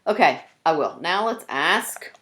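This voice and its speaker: A woman storyteller reading a picture book to children. Her voice is fairly low but very animated, and she chats to her young listeners, putting questions to them. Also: measured pace, a little hiss or hum. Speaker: storyteller, teacher.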